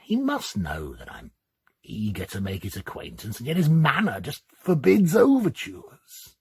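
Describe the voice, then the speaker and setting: An actor in a dramatic reading, playing a lonely, timid, middle-aged academic who muses in a hesitant, fussy, precise way. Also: male voice, medium pitch, wildly swooping pitch, a little slow, darkish tone, slightly rough, exaggerated voice. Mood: peevish, brooding. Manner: hesitant, musing, theatrical, precise wording. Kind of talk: performed dramatic reading of a classic ghost story, first-person monologue.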